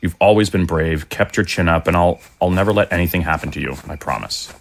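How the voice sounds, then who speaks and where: A middle-aged man playing a devoted, gentle undead father in an audio drama, speaking in a halting, earnest way. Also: low voice, creaky, slight background noise. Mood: tender, reflective.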